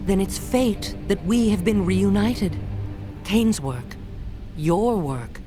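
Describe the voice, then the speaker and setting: A woman's voice: mid-range voice, expressive, takes it slowly, over music. An actress playing a scheming witch mother in a video game cutscene, solemn and persuasive.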